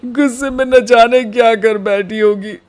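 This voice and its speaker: A man playing a playful, romantic and easily worried young husband in a cartoon story, with a dramatic, expressive delivery. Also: very high voice, a little slow. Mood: remorseful. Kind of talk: cartoon storybook character acting.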